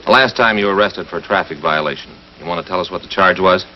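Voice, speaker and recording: A man's voice: low-pitched, fast talker, natural voice. A man playing a middle-aged, probing, skeptical police detective in a 1950s television crime drama, matter-of-fact and pressing. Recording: dull 1950s TV soundtrack, faint noise.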